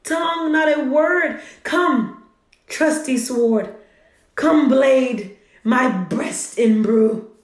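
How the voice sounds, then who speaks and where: Woman as high, echoing, melodramatic grieving lover. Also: heavily acted. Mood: overwrought grief. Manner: overwrought, halting, wailing. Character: melodramatic grieving lover in a clumsy play-within-a-play. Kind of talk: mock-tragic verse in a radio play. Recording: roomy, echoing sound.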